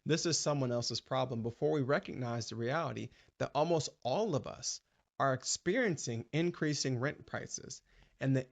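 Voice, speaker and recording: Gravelly man giving measured commentary. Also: mid-range voice. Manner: measured, deliberate, persuasive. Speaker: host.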